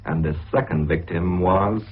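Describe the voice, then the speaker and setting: An actor playing a sober narrator of a radio mystery, deep and husky, telling it in a measured, grave way. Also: male voice. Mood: grave, suspenseful. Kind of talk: dramatic scripted narration. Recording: thin, phone-like radio recording.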